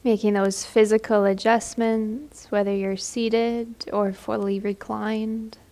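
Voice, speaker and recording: A woman leading a guided meditation in a gentle, soothing, unhurried manner. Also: medium pitch, level tone.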